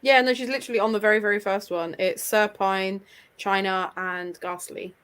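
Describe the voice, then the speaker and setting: A woman's voice: medium pitch, fairly even intonation, moderate pace, a bit bright, clean tone. A woman talking casually as a panellist in a book discussion. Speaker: book club readalong panellist.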